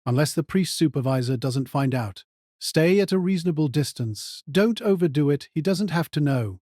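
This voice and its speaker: A man's voice: fairly high pitch, lively intonation, normal speed, mellow, no room sound, clean studio sound. A man playing a cold, calculating mage mercenary in an audio drama, giving terse, controlled orders. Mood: commanding. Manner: terse, clipped, controlled commands.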